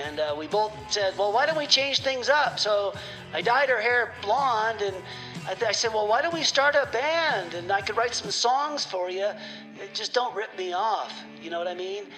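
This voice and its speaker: A performer playing a rambling, laid-back caller leaving halting, chuckling messages in comedy radio theater. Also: medium pitch, expressive, sharp tone, poor audio. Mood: rambling, upbeat. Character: Jimmy, rambling laid-back clone leaving phone messages, name-dropping partygoer. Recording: music playing behind the voice.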